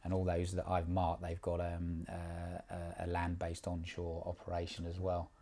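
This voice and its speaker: A man with a very low, dark voice talks through placement advice in an easygoing, unhurried way. He repeats words and fills pauses with ums, and his pitch barely moves. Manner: relaxed, repeats words, many fillers. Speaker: job placement mentor. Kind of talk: casual one-on-one advice.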